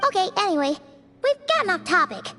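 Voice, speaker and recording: Little fairy game companion, squeaky, childlike, chatty, sky-high pitch, lively swings. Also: female voice, a little fast, full character voice. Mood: curious, frank. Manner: chatty, expressive, refers to self in third person. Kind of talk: video game voice acting. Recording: loud background music.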